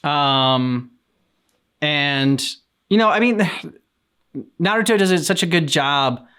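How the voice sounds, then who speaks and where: A man giving casual reaction commentary in a halting way, thinking aloud. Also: fairly high pitch, lively intonation, sharp tone, smooth. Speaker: reaction channel host. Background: faint game music.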